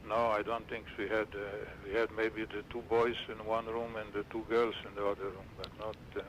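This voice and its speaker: A man in his seventies from Romania recounts his early life in a low, flat voice that barely rises or falls. He talks haltingly and restarts his sentences as he hunts for words. A faint hiss sits under the recording. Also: rough recording. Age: 70s. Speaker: interviewee. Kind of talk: recalling childhood memories.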